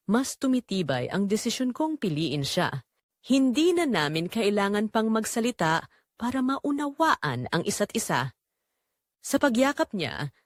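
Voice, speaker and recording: A woman with a Filipino accent narrates a dramatic first-person romance story in a measured, expressive way. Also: mid-range voice, no room sound, clean studio sound.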